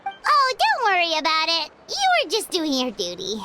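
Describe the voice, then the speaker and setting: A woman voicing a tiny, excitable, chatty fairy companion with a squeaky childlike voice in a video game. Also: moderate pace, loud music behind the voice, exaggerated voice. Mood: bubbly, curious. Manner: refers to self in third person, exclamatory.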